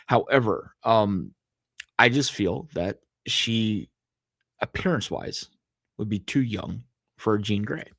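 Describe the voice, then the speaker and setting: Lower-voiced man, measured casual talk. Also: expressive, creaky, a bit bright, no echo. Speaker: podcast co-host. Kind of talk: casual chat about comics and films.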